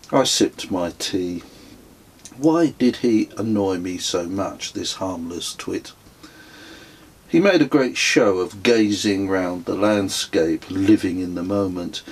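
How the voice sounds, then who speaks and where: A middle-aged man reading his own travel memoir as an audiobook, in a measured, dryly wry manner. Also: sits low, a little hiss or hum. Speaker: author reading own book.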